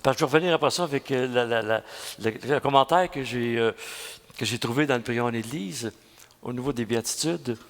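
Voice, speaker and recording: A man's voice: medium pitch, fairly quick, big-room sound. A man in his sixties with a Quebec French accent, preaching a homily at mass in a folksy, storytelling way, full of restarts and asides. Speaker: priest.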